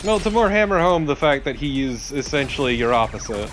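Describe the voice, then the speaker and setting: A man's voice: medium pitch, sing-song, a little fast, background noise. A man talking casually and bluntly as co-commentator on a video game let's play. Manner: blunt, informal.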